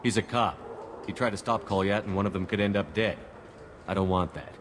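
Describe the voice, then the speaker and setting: An actor playing a decisive, principled military commander in a video game, direct and businesslike. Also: male voice, fairly low pitch, fairly even intonation, fairly quick, background noise, natural voice. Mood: concerned, firm. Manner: direct, businesslike, terse questions.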